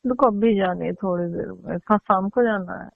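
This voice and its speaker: A woman's voice: medium pitch, normal speed, gravelly, no room sound. A woman playing an upset, whiny customer complaining sulkily to her tailor in a casual phone conversation. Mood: sulky. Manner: complaining, sulky. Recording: muffled, band-limited phone line.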